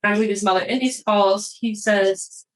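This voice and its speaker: A woman argues as a prosecutor in a courtroom hearing. Her voice sits at an average pitch for a woman. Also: fairly even intonation, moderate pace, low-quality recording. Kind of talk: courtroom argument.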